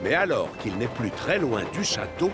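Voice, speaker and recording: A man's voice: mid-range voice, sing-song, moderate pace, loud music behind the voice. A man narrating a children's cartoon like a scripted storybook.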